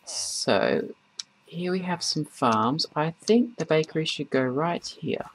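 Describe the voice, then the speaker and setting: A man giving casual, rambling gameplay commentary in a halting way as host of a gaming video. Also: on the high side, animated. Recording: noisy, keyboard and mouse clicks.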